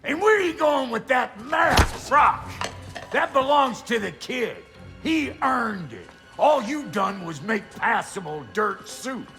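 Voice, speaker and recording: An actor playing a gruff, blunt, foul-mouthed dwarf blacksmith with a gravelly, folksy way of talking in a video game. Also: male voice, very high voice, very animated, slow pace, music in the background, full character voice. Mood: suspicious, irritated. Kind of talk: video game cutscene acting.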